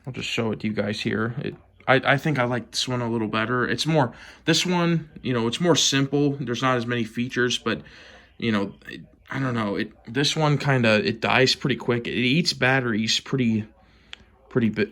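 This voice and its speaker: A man with an American accent and a mid-range voice talks through a hobby collection for a video. His delivery is casual and low-key, a bit hesitant, with phrases that trail off. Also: fairly even intonation, moderate pace, vocal fry, a bit bright. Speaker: hobbyist vlogger showing a collection. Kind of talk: casual show-and-tell.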